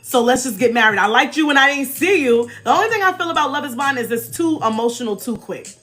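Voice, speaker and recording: An American woman in her forties hosting a casual, chatty livestream with animated, emphatic delivery and informal slang. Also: high voice, quick pace. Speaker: livestream host. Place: small indoor room, backdrop behind. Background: faint music.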